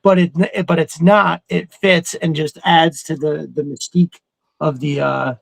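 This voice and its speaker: A man's voice: a little high, fairly even intonation, measured pace, creaky. A man around his thirties or forties co-hosting a fan show, talking casually in a rambling, enthusiastic way. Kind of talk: casual chat.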